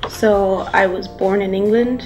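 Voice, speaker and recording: A woman's voice: medium pitch, fairly even intonation, a little slow, over music, poor audio. A young woman who grew up in Tanzania, a graphic design student, speaking reflectively with a stranger. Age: young adult. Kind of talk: casual conversation with a stranger.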